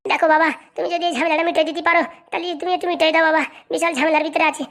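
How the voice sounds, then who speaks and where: A woman's voice: very high voice, little pitch movement, racing, a bit bright. A woman voicing an anxious, nagging middle-aged mother in a comic cartoon. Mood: worried. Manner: coaxing, fretting.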